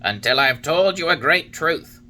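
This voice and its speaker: A man voicing a wise old male talking cricket who lectures in solemn, drawn-out warnings. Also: on the high side, expressive, bright, forceful tone, slight background noise, voice pushed up, exaggerated voice. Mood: lecturing.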